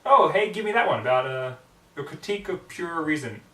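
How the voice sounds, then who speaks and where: A man voicing a brash, cocky young female pegasus pony from a story, hammy, blunt and excitable, in a roomy, distant recording. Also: a little high, fairly quick, voice pushed up. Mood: smug, brash. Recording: roomy echo, distant microphone.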